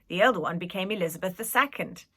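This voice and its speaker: A well-bred, bookish boy prince from a children's story , as a woman reader puts him on. He speaks earnestly and precisely, in a bright voice with lively pitch. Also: quick pace, slightly rough, heavily acted.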